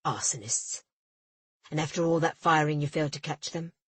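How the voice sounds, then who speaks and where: A self-important English port official, clipped and fussy, barking his complaints, as a woman puts him on for a storybook. His voice pushes loud and indignant, with a husky rasp to the tone. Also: fairly high pitch, unhurried, no echo, voice pushed down, full character voice. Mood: blustering, indignant. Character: pompous, blustering older male port official.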